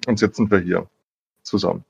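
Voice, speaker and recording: A man's voice: sits low, fairly even intonation, moderate pace, creaky, slightly rough, no room sound, muffled recording. A man answering informally as an interview guest, in a rambling, self-deprecating way. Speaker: interview guest, digital humanities academic. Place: remote online call.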